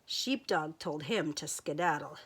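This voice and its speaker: A woman reading a children's picture book aloud, in a playful, expressive storyteller's voice. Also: sits low, a little slow, slight background noise. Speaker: storyteller teacher.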